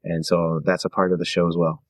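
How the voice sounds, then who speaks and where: A man speaks as a podcast guest in a low-pitched voice. His delivery is conversational and reflective, as he thinks aloud and checks in with the listener. The recording is dry and free of room sound. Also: little pitch movement, a little fast, no room sound. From Toronto, Canada. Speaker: podcast guest, singer-songwriter. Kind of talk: casual interview conversation.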